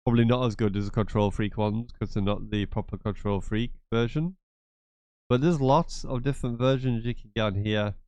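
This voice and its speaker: A man's voice: medium pitch, normal speed, a little mellow, clear, resonant tone, no room sound, dull audio. A man with a cold and a blocked, nasal voice, chatting casually as he walks through a gaming tutorial. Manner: chatty, repetitive, rambling asides. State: congested from a cold. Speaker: gaming channel host. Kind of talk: casual screen-share tutorial.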